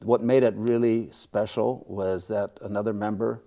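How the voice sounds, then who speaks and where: An older man with a Midwestern American accent, talking modestly and in wordy, measured phrases as an interview guest. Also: fairly low pitch, fairly even intonation, dark tone. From small-town upper Midwest, United States. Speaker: radio play-by-play broadcaster, interview guest. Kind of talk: casual interview chat.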